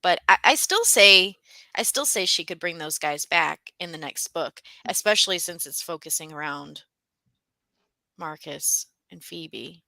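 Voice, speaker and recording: A woman's voice: fairly low pitch, lively intonation, a little slow, creaky, bright, forceful tone, dry recording. A woman co-hosting a casual book discussion, talking conversationally and thinking aloud as she goes.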